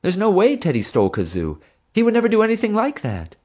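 A man doing an earnest young woman keeper, high and eager. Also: wildly swooping pitch, fast talker, darkish tone, exaggerated voice.

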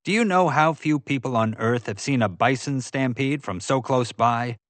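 A man voicing an excitable, carefree adventure lover in an audiobook, talkative and casual. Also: mid-range voice, normal speed, no echo, pitched above the performer's own voice, lightly acted. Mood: amazed. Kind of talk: audiobook character voice.

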